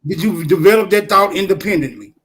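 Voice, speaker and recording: Man, fairly high voice, informal debate, formal deliberate phrasing, questioning. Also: expressive, fairly bright tone. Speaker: discussion participant putting questions to others.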